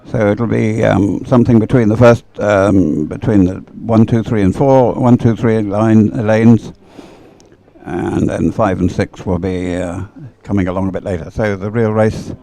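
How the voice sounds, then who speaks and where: A man's voice: fairly low pitch, steady tone, measured pace, gravelly, warm tone, no echo. A man giving live, off-the-cuff race commentary in a conversational, matter-of-fact way.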